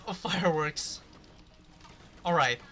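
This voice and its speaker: A man's voice: on the high side, takes it slowly, pushed and bright, a little hiss or hum. A man doing casual, rambling gameplay commentary, swearing now and then. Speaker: video game streamer.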